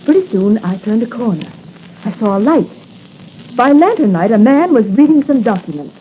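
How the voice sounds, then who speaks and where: An actress playing a plucky, eager young newspaper reporter in 1940s radio style. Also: female voice, on the high side, big pitch swings, normal speed. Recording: muffled noisy 1940 radio recording.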